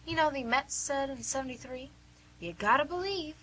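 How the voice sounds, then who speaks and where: Bright-voiced, coaxing nurse played by a woman. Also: high-pitched, normal speed. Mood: chatty, teasing. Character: cheerful, probing, slightly fumbling woman nurse named Angie. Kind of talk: radio drama acting. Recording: noisy amateur recording with faint hum.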